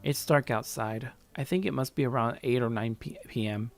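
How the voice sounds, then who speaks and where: A man narrating a first-person story aloud in an expressive way, now and then stumbling over his words. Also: medium pitch, normal speed. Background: faint music.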